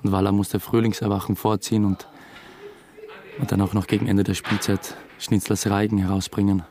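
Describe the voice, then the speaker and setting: An actor playing an uneasy, obsessive theatre dramaturge, confessing hesitantly in a radio drama. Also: male voice, on the low side, little pitch movement, moderate pace, mellow, natural voice. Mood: matter-of-fact. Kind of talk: first-person radio drama narration. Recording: music under the voice. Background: music.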